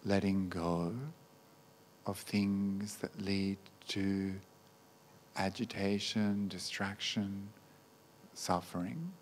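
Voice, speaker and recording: A man guiding a meditation as a teacher, speaking gently in short, unhurried phrases with long pauses. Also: sits low, fairly even intonation, a little hiss or hum. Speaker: meditation teacher, Buddhist monk. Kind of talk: guided meditation.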